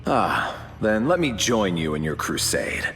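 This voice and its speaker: A man's voice: on the low side, sing-song, unhurried. A man voicing a solemn cosmic champion in a video game, with formal, lofty, grandiose phrasing. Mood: earnest. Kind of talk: video game character acting. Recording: long reverb, music behind.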